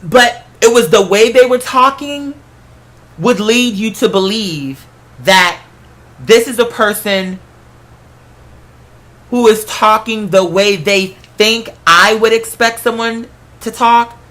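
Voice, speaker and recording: Bright-voiced solo host, casual and emphatic. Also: medium pitch, slow pace, pauses often. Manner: emphatic, conversational, profane. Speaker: host of a solo talk show. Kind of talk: casual rambling monologue. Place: apartment.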